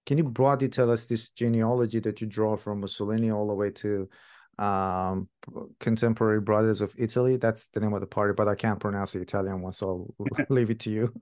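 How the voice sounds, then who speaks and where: Polite podcast host, dry sound. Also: male voice, on the low side, steady tone, fairly quick, darkish tone, no echo. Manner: polite, careful, apologetic over names. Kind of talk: podcast interview.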